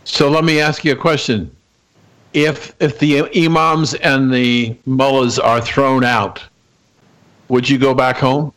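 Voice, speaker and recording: A man's voice: medium pitch, level tone, slow pace, fairly bright tone, poor audio. A man hosting an interview, asking questions hesitantly and restarting them. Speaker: interview host.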